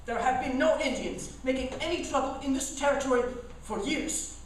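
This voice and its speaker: A woman's voice: sits high, normal speed, close to an everyday voice. A woman playing a proud, solemn frontier healer who speaks in stilted formal phrases in a comic Western radio play. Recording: echoey hall, distant mic, faint noise.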